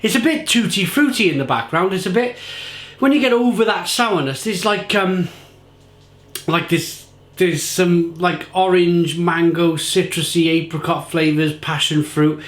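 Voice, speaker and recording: Man, beer review vlog, gushing and rambling, a bit croaky, fairly high voice, roomy echo. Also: a little slow, fairly bright tone. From England. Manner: enthusiastic, rambling, repeats himself. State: slightly croaky. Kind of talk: casual tasting review to camera.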